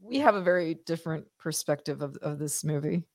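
A woman's voice: sits low, brisk, darkish tone. A woman co-hosting a podcast, chatting casually about a movie with wry, playful interjections. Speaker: podcast co-host. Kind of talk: casual watch-party banter.

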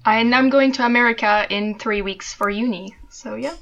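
A seventeen-year-old woman from France, speaking in an earnest, measured way as a guest in an interview. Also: a little high, a bit bright. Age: teens. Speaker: podcast co-host, guest. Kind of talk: casual interview chat. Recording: dull lo-fi mic.

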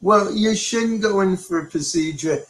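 A middle-aged man from New York and Connecticut, a psychic medium chatting softly and keeping his voice low on a live online show. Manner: soft-spoken, deliberately keeps voice low. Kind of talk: live online chat and readings.

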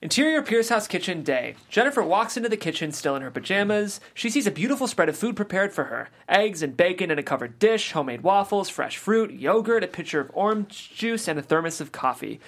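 A woman reads out a script's scene headings and action lines in a plain, brisk manner. Her voice sits somewhat low and stays steady, without dramatics. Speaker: stage direction reader.

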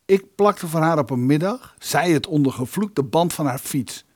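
A wry, scheming lodger in a radio drama, his voice somewhat high for a man. The sound is dry and studio-close. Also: animated, moderate pace, a little mellow, dry recording. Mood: wry reminiscing. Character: scheming, dryly witty male lodger among poor musicians. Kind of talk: radio play dialogue and storytelling.

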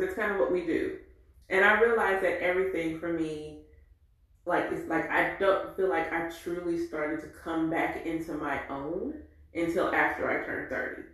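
A woman in her forties telling a candid, reflective personal story in an animated way. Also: sits low. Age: 40s. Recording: very echoey room.

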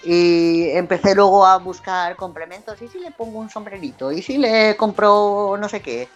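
A woman's voice: medium pitch, moderate pace, highs cut off, soft background music. A woman from Madrid with a Madrid Spanish accent tinged with Valencian, chatting casually as a podcast guest, laughing often and poking fun at herself. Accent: Madrid Spanish, with some Valencian influence. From Madrid, Spain. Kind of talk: casual chat.

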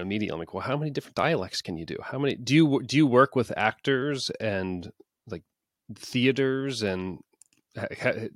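A man's voice: mid-range voice, fairly bright tone, no room sound, studio quality. A man hosting a podcast interview, asking curious questions with frequent halts and restarts. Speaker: podcast host.

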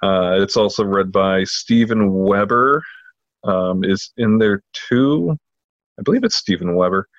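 A man with a fairly low voice co-hosts a casual podcast. He explains things haltingly, padding with fillers. The sound is dry and a little dull, without room echo. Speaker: podcast co-host. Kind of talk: casual chat, informal book recommendation.

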